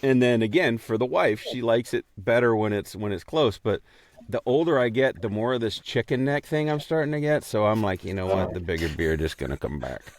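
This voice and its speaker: A man's voice: medium pitch, lively intonation, fairly quick, dry recording. A middle-aged man co-hosting a podcast, chatting casually with a joking, self-deprecating manner. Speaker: podcast co-host.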